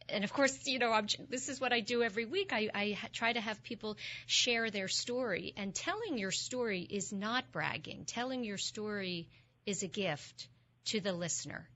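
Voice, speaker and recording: A woman's voice: medium pitch, bright, forceful tone, lo-fi sound. A woman hosting an interview, asking questions and reflecting in an encouraging way. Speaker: host. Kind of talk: interview conversation.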